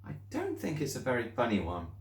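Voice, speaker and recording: A man voicing a gentle, timid young woman teacher who speaks hesitantly and softly. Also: mid-range voice, huge pitch swings, fast talker. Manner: hesitant and soft. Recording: roomy echo.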